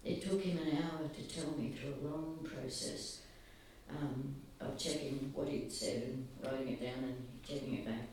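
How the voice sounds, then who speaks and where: A soft-spoken man giving an earnest, halting public talk, often pausing. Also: medium pitch, steady tone, a little hiss or hum. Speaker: speaker at a gathering. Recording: very echoey, distant, faint ambience.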